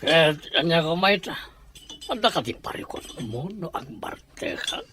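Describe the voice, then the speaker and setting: A man's voice: fairly high pitch, big pitch swings, moderate pace, background noise. A man playing a menacing, hot-tempered boss in an audio drama, curt and threatening.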